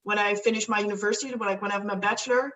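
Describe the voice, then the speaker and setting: A woman's voice: medium pitch, fairly even intonation, very fast, a bit bright, distant mic, a little hiss or hum, low-quality recording. A young woman from the Netherlands, a guest chatting casually, rambling with many fillers and restarts. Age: young adult. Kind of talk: casual chat.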